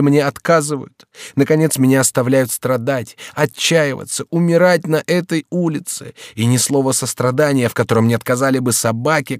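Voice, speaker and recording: A man's voice: mid-range voice, no echo, clean studio sound, pitched above the performer's own voice, natural voice. A man reading aloud and voicing a lovesick young count, impassioned and pleading. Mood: despairing.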